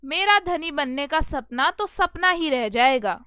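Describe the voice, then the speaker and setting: Woman acting a high-pitched, nagging, greedy farm wife. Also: expressive, measured pace, no echo, studio quality, heavily acted. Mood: scornful, complaining. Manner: complaining, pushy, sharp-tongued. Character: greedy, nagging, impatient farmer's wife in a cartoon fable.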